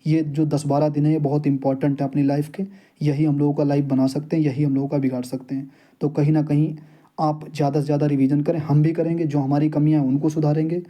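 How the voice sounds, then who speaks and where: A man's voice: fairly high pitch, level tone, fast, lo-fi sound. A young man talks casually to his viewers as a fellow exam candidate, explaining and advising in a repetitive, peer-to-peer way. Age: young adult. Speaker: exam-preparation YouTuber and candidate. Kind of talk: casual informal vlog talk to viewers.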